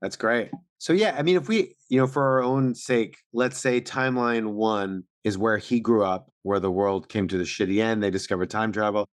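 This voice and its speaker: A man's voice: fairly low pitch, moderate pace, few pauses, no echo, clean studio sound. A man co-hosting a casual podcast recap, explaining things in an informal, slangy way. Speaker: podcast co-host.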